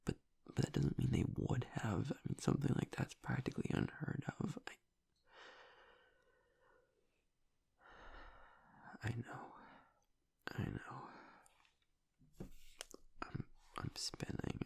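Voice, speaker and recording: A man playing a gruff young werewolf pack alpha in an intimate audio roleplay, halting and tearful, his voice breathy and gravelly as he grieves and doubts himself. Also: animated, a little mellow, whispered, full character voice. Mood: spiralling, distressed. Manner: halting, low-voiced, choked with emotion. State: tearful, on the edge of crying.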